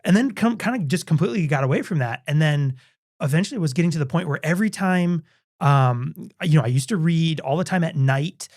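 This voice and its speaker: Podcast co-host, male, higher pitch, loose rambling talk full of ums. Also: animated, a little fast, darkish tone. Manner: rambling, many fillers and restarts. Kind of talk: casual chat.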